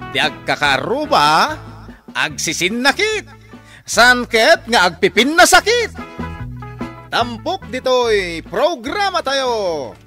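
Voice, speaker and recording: High, wildly animated Ilocano radio storyteller. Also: male voice, slow pace, long pauses, full character voice. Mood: theatrical, announcing. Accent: Ilocano, northern Philippines. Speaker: drama narrator. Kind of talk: theatrical Ilocano radio drama narration and banter. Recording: radio drama with prominent music bed.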